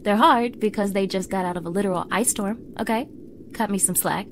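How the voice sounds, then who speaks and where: A young woman acting a standoffish, awkward mean girl who is softening, in an intimate ASMR roleplay, halting and flustered, with soft breathy delivery. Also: medium pitch, slight background noise, close to an everyday voice. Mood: flustered, defensive. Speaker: audio roleplay voice actor.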